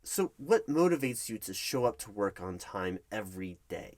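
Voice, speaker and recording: Man acting a nervous, low-voiced male recruiter. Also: very animated, moderate pace, darkish tone, slight background noise. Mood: polite, probing. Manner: hesitant, stammering, diplomatic. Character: nervous, polite male recruitment manager, flustered by flattery. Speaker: job interviewer. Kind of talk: one-sided ASMR roleplay interview. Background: computer keyboard typing.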